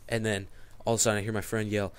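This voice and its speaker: A man's voice: on the low side, flat delivery, racing, clean tone. A young man vlogging, telling a story casually to camera, rambling with lots of fillers and restarts.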